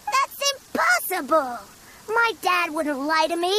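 A woman's voice: very high-pitched, sing-song, a little slow, heavy background noise, heavily acted. A woman voicing an eager, stubborn young village child in a video game. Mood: indignant. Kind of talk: video game character dialogue. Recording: music behind the voice.

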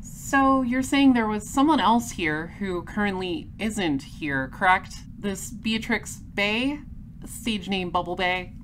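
A woman playing a polite, patient space patrol detective in a comedy audio drama, questioning in a measured deadpan. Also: mid-range voice, slight background noise. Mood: patient, firm.

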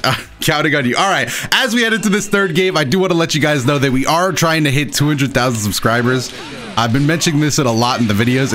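A young adult man giving excitable, self-deprecating live commentary over his own gameplay as the host. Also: mid-range voice. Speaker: gaming video host.

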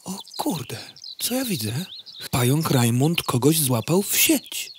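A woman's voice: deep voice, sing-song, slow, slight background noise, full character voice. A woman playing a hungry female housefly from the city, politely hesitant or sardonically grumbling, in a comic audio drama. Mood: chatty. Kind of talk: audio comedy drama acting.

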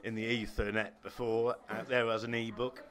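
A fairly low-pitched man interviews guests politely. He introduces each guest in a formal way before asking his questions. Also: little pitch movement, moderate pace, fairly bright tone. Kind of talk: local interview.

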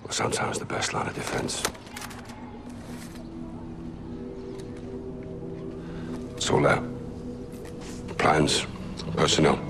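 An actor playing a wry, determined middle-aged special forces captain with a gruff British voice in a video game. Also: male voice, very deep voice, little pitch movement, moderate pace, over music. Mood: low, persuasive. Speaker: military captain. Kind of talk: cinematic game dialogue.

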